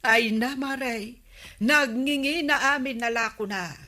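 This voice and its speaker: A woman's voice: on the high side, unhurried, bright, forceful tone. A woman playing a plaintive village shopper who is short of money, in a comedy radio drama. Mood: plaintive, coaxing.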